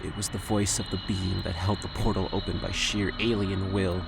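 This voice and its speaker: A man's voice: low-pitched, flat delivery, normal speed, vocal fry, heavy background noise. A man giving scripted dramatic narration for an audio drama.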